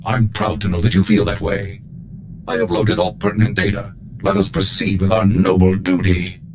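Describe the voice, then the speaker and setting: An actor playing a gung-ho, formal and fervently loyal military war droid in a video game, with bombastic delivery. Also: male voice, low voice, fairly even intonation, normal speed, noisy background. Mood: admiring, zealous. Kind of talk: video game character acting.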